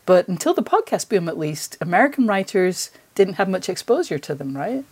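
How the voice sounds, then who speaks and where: A woman's voice: lowish voice, big pitch swings, brisk, a little mellow, husky. A woman interviewer talking conversationally and with enthusiasm as a podcast host.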